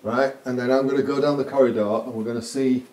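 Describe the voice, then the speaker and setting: A man's voice: mid-range voice, fairly even intonation, fast, a little mellow. A man giving a casual, chatty running commentary as he films a gear test indoors. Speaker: vlogger testing walkie-talkies. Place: corridors inside a residential building. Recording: clear background noise.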